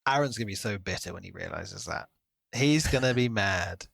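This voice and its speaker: A man's voice: fairly low pitch, lively intonation, moderate pace, creaky, fairly bright tone, no room sound, clean studio sound. A man co-hosting a podcast, discussing books in a casual, enthusiastic and reflective way. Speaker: podcast co-host.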